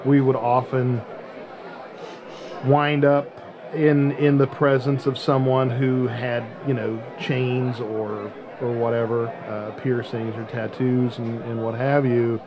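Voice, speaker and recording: A middle-aged man with a medium-pitched voice hosts a casual podcast. He talks in a halting way, feeling for the next word, with clear background chatter around him. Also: little pitch movement. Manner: halting, searching for words. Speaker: podcast host.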